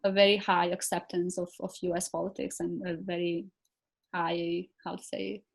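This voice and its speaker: A woman's voice: on the low side, flat delivery, normal speed, gravelly. A woman from Kosovo with an Albanian accent, a native Albanian speaker, talking as an interview guest and hesitantly searching for words. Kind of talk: conversational interview.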